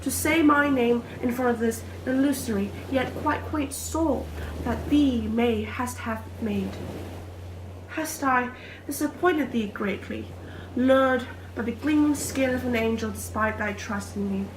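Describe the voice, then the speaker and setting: A woman's voice: fairly high pitch, unhurried, natural voice. A young woman performing an innocent, lovestruck maiden in an earnest, theatrical Shakespearean soliloquy. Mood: pleading. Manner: earnest, declamatory, archaic diction. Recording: echoey room with faint noise.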